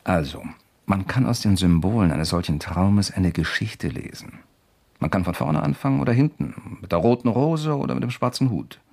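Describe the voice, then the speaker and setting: Radio crime drama: persistent investigator, gravelly and lowish, courteous but slyly probing. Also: male voice, animated, darkish tone. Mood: mysterious, coaxing. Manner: insistent, questioning, deliberate.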